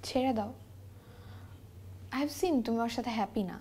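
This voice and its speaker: A woman's voice: fairly high pitch, moderate pace, a little mellow, slight background noise, natural voice. An actress with a Bangladeshi accent playing an insistent, possessive young woman in a TV drama, dropping in English phrases. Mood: insistent, jealous. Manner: pressing, mixes in English phrases. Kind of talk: natural TV drama acting.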